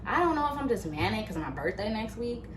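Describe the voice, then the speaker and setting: Woman in her late twenties, chatty animated vlogger, roomy echo, faint background hum. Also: medium pitch, quick pace. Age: late 20s. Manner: animated, chatty, many fillers. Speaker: YouTuber. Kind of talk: casual motivational vlog. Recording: echoey room, faint ambience.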